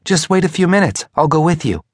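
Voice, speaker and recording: A man's voice: a little high, very animated, fairly quick, no echo, clean studio sound, voice pushed up. A man voicing a worried, pleading wife in an audiobook story.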